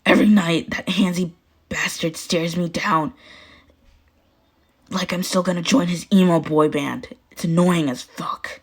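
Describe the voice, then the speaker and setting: Casting: prickly teen boy, read by a female narrator, blunt, grumbling, awkwardly caring, low-key audiobook delivery. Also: fairly even intonation, unhurried, breathy edge.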